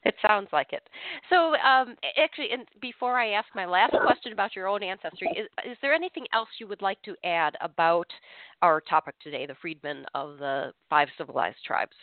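A woman's voice: medium pitch, lively intonation, a little fast, no echo. Woman host on a tinny line. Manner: brief, prompting questions. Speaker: podcast host. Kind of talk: interview questions. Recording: tinny phone line, dry.

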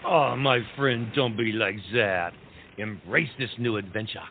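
A man's voice: fairly low pitch, measured pace. Exuberant French marquis played by a man, theatrical, rousing period-comedy delivery; narrow phone-band sound with noise. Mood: coaxing. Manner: grand, rousing, peppered with French phrases. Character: exuberant, idealistic French marquis urging his friend on. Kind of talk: theatrical comic period audio drama. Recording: tinny phone-like line, clear background noise.

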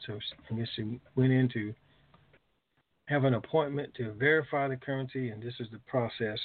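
A man's voice: mid-range voice, moderate pace. A man hosting a conference call, making informal announcements and answering callers over a phone line. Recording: phone-like narrow-band conference call audio.

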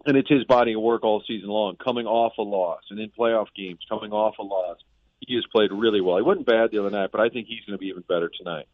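A man's voice: on the low side, fairly even intonation, fast talker, no room sound. Man on a tinny phone line. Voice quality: conversational, analytical. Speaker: sports reporter. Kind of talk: casual sports talk. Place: on a boat in a harbor.

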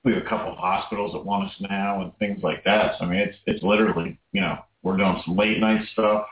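A man's voice: deep voice, flat delivery, fairly quick. A man talking casually as a guest on a radio show, rambling with many fillers. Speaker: guest vendor. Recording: distant, roomy, phone-like line.

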